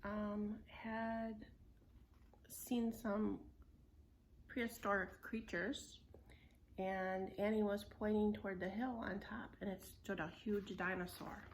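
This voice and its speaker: A woman's voice: medium pitch, steady tone, slow, slight background noise, low-quality recording. A woman storyteller reading a children's book aloud.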